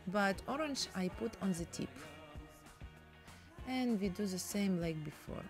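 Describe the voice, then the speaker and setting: Slow-talking woman with a Russian accent. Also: medium pitch, music in the background. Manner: chatty, broken English, laughs at herself. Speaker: painting tutorial host. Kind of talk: casual painting demonstration.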